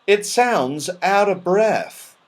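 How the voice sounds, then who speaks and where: A man's voice: high voice, expressive, extremely slow, pitched above the performer's own voice, full character voice. A man voicing an anxious, earnest male fairy companion in a children's storybook, with emphatic delivery. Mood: worried.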